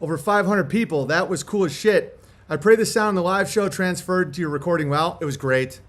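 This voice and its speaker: A man's voice: high voice, a little fast. A man chatting casually in slangy, sweary language as a host, partly reading a letter aloud. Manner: laid-back slangy talk with frequent swearing.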